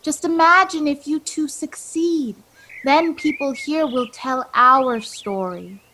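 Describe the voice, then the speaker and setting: A woman acts a knowing guide figure in a radio play. The voice is somewhat high and very measured. It coaxes with calm, solemn certainty. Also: slight background noise, full character voice. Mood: encouraging. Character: wise, calm, knowing female guide from home.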